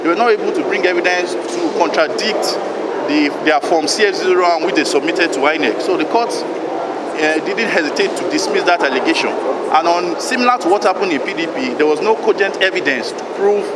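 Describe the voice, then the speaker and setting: A man with a Nigerian accent giving measured, formal, legalistic remarks to the press as a lawyer. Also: fairly high pitch. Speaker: lawyer commenting on a court judgment. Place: outdoors near traffic. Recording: very noisy outdoor street with traffic.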